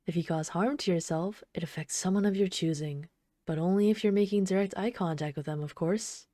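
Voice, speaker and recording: A mellow, dark-toned villainess played by a woman speaks close and very dry, as in an ASMR roleplay. She is an obsessive yandere bomber, soft and unhurried, teasing her captive hero with casual menace in a lowish voice. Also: no echo, natural voice. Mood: smitten, teasing. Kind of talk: ASMR roleplay, intimate scripted monologue.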